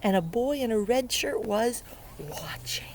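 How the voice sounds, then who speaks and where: A woman's voice: a little high, lively intonation, measured pace, noisy background. A woman reading a picture book aloud to young children outdoors, speaking playfully with wonder and asking the listener simple questions. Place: outdoors beside a lake.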